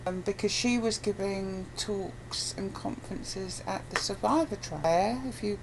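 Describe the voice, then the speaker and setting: A British woman chatting casually as an interviewee, giving a rambling, halting and blunt account. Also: mid-range voice, vocal fry, background noise. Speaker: interviewee, abuse survivor campaigner.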